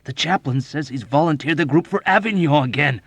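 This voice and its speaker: A man voicing a belligerent, desperate young airman in an audiobook novel, with a thick, hoarse voice and an agitated, pleading, shouting manner. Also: fairly low pitch, normal speed, no room sound.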